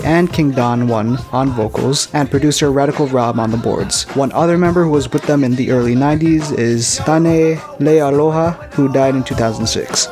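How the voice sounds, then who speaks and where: A man from Hawaii narrating a scripted music review with a wry, dry sense of humor. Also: mid-range voice. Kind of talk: scripted video-essay narration.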